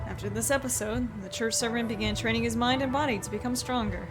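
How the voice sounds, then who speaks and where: An excitable, giggly woman playing a video game with friends, chatting and reading lines aloud in a casual way. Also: on the high side, fast talker. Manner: excitable, giggly, breaks into loud laughing exclamations. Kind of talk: casual group commentary while playing and voicing a detective video game. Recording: game music playing behind the voice. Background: video game music.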